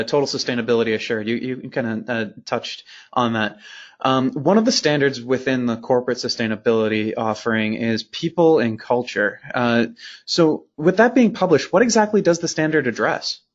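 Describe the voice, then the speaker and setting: A man's voice: medium pitch, expressive, moderate pace, muffled recording. A man hosting a company podcast, introducing and questioning guests in a conversational, partly scripted way. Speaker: podcast host.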